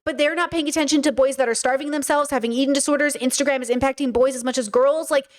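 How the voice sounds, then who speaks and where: A woman's voice: high voice, steady tone, fast. A woman with a Californian accent hosting a commentary video, talking emphatically to her viewers and often repeating words as she thinks. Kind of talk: opinionated commentary monologue.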